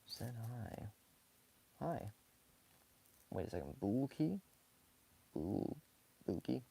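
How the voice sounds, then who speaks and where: A hoarse young man talks casually while sketching live, rambling softly with many fillers and small laughs. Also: fairly low pitch, sing-song, extremely slow. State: hoarse, scratchy from talking too much. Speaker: live-streaming sketch artist. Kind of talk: casual commentary while drawing.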